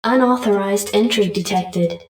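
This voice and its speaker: A woman's voice: mid-range voice, unhurried, a little mellow, natural voice. A woman voicing an impassive military computer in a video game, with clipped, formal announcements. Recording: echoey, distant, music behind.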